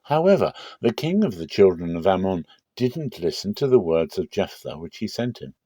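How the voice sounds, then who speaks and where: A man giving a dramatic, measured and solemn narration of scripture as a Bible reader. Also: deep voice, big pitch swings, warm tone, no echo.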